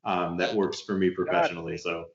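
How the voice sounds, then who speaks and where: Lower-voiced guy, laid back, roomy echo, bit muffled. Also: a little fast. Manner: relaxed, thinking aloud. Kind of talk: casual podcast interview. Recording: roomy, muffled remote audio.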